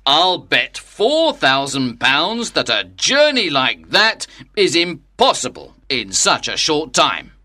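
Smug English clubman, mocking, theatrical, slow delivery, sharp bright tone, audiobook drama. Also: on the high side, wildly swooping pitch, exaggerated voice. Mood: mocking, challenging. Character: sceptical, goading English club gentleman, smugly amused.

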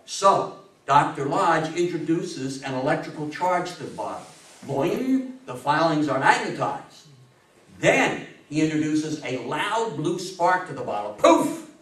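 A man's voice: fairly high pitch, big pitch swings, a bit bright. Guy in his 50s doing an emphatic public talk, super echoey, mic way off across the room. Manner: theatrical, emphatic delivery with dramatic pauses and repetition. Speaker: lecturer. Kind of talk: animated public history talk to a live audience. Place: meeting room with a seated audience, indoors. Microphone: distant camera microphone. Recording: echoey room, recorded from far off.

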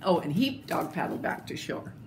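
An older woman reading a children's picture book aloud in an expressive, deliberate, theatrical way, with little asides. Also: deep voice. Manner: slow, deliberate, theatrical phrasing. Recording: clearly noisy home video recording.

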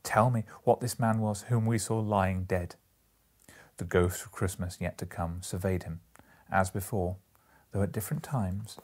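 Low, gravelly man narrating. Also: darkish tone. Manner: measured, solemn storytelling. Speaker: storybook reader. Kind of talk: dramatic literary narration. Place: small indoor room, seated in an armchair.

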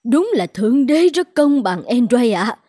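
A woman's voice: fairly high pitch, big pitch swings, a little slow, warm tone, no room sound, clean studio sound. A practical older cartoon mother. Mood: brisk, coaxing. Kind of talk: animated fairy tale dubbing.

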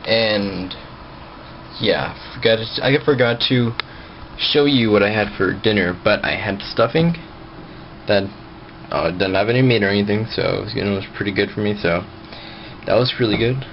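A man's voice: fairly low pitch, moderate pace, pauses often. A young man talking casually to a handheld camera as he vlogs, recorded at arm's length. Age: young adult. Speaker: vlogger. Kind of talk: casual vlog talk to camera. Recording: muffled, noisy handheld camera audio.

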